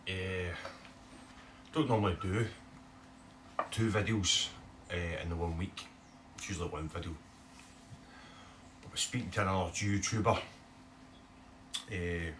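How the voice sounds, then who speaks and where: Deep-voiced man with a broad Scottish accent. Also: fairly even intonation, unhurried, pauses often, fairly bright tone, far from the mic, slight background noise, low-quality recording. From Scotland. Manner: chatty, rambling, many "eh" fillers. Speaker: bass guitar YouTuber. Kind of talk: casual talk to camera. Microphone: phone camera.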